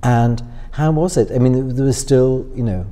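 A middle-aged man from Northwest England with a softened upper-middle-class accent, musing through interview answers with many fillers and restarts. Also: medium pitch, normal speed. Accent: upper-middle-class Northwest English softened by London. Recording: voice with long hall reverb.

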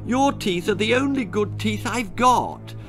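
A frightened little storybook fairy, played by a man, pitched well up high. She is fragile and trembling, tripping over her words as she pleads. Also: expressive, measured pace, fairly bright tone, soft background music. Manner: trembling, stammering. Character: small frightened female fairy, fragile and dignified.

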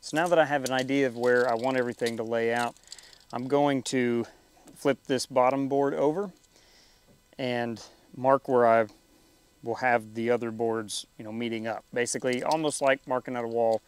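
A young man hosting a DIY farm video, thinking aloud in a plain, unhurried way while he works outdoors in a farmyard, picked up from a distance by the camera. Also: medium pitch, fairly even intonation, a little hiss or hum. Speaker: DIY farm channel host. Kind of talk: casual building tutorial narration.

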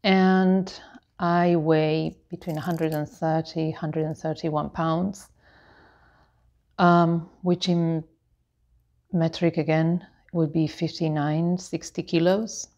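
A woman of about fifty chatting casually to camera as a lifestyle vlogger, in a halting, meandering, explanatory way. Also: sits low, level tone, darkish tone, dull audio. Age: 49, about to turn 50. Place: living room with tiled floor, indoors. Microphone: clip-on lapel microphone.

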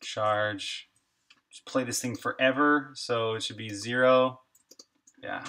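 A man's voice: mid-range voice, steady tone, slow pace, pushed and bright. A man streaming his game development live, talking casually through his code and reacting to chat. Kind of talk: live coding stream, thinking aloud.

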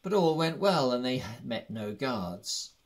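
A man's voice: mid-range voice, sing-song, slow. A man reading a fantasy story aloud as scripted narration. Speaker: audiobook reader.

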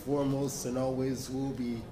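A man in an audience speaking into a handheld mic in a large convention hall, telling an earnest, unhurried story to a panel. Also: mid-range voice, flat delivery, background noise. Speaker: audience member. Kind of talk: spontaneous speech to a panel.

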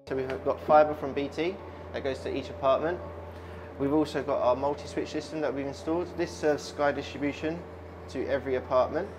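A man's voice: medium pitch, fairly even intonation, a little fast, over music, rough recording. A man in his thirties explaining an installation in a matter-of-fact, instructional way, like a tradesman presenting a walkthrough. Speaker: smart home installer presenting his company's work.

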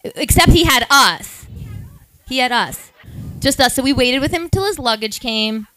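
A woman's voice: sits high, lively intonation, a little slow, a bit bright, poor audio. A woman in her thirties, a podcast co-host, telling a long rambling story with lots of asides at a live event.

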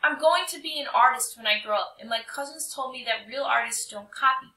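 Woman as an insistent, high-voiced little boy. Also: brisk, pushed and bright. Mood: defiant. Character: earnest, indignant little boy, budding artist. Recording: roomy echo, distant mic.

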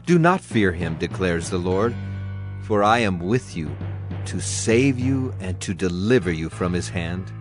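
An actor playing a stern Hebrew prophet in a dramatized audio Bible, solemn and declamatory. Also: male voice, deep voice, animated, a little slow, soft background music. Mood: solemn, reassuring.

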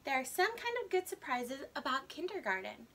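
An eager little kindergarten boy from a storybook, played by a woman. He is high and bright, boastful yet anxious, and swings up and down excitably. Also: fairly quick, vocal fry, heavily acted. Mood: excited. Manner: excitable, childlike, expressive storybook delivery.

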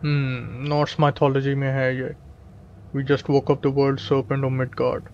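Indian guy, native hindi speaker, casual gameplay commentary, pretty monotone, mid pitch, bit of game noise. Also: normal speed, muffled recording. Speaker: gaming video host.

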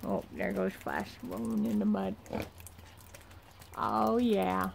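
A young woman in her twenties, talking in a relaxed, chatty way as she films herself outdoors at a barn. She holds the phone out at arm's length. A faint outdoor hiss sits behind her voice, with horses moving about nearby. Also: mid-range voice, slow pace, vocal fry. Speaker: vlogger, horse trainer. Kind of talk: casual vlog chat and voice-over. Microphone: phone held at arm's length.

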